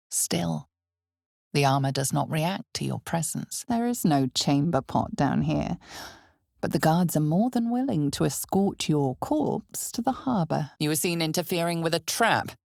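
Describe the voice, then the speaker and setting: A woman playing the ominous, wry narrator of a dark fantasy game, with measured, deliberate, dramatic delivery. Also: low-pitched, creaky, no echo, clean studio sound, exaggerated voice. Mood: wry, stern.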